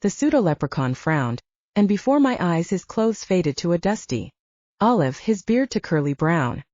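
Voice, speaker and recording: Mellow, expressive woman narrator. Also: on the low side, normal speed, no echo, muffled recording. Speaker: audiobook narrator. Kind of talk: scripted first-person fiction narration.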